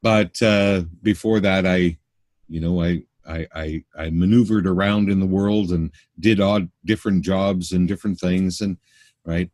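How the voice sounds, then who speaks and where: A man's voice: deep voice, fairly even intonation, unhurried, darkish tone, no room sound, clean studio sound. A middle-aged man from Canada, a spiritual workshop teacher, answering interview questions earnestly, often restarting his sentences.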